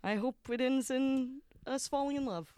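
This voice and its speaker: A woman co-hosting a comedy podcast, giggly and wry in quick banter. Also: high-pitched, mellow, no echo. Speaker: podcast co-host. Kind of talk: casual comedic chat.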